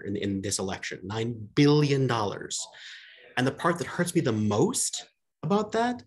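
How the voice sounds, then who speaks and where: A man in his thirties answering questions on a video call from an indoor room, relaxed, with lots of ums and dry humor. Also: medium pitch, slight background noise, low-quality recording. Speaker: guest speaker. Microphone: webcam on a video call.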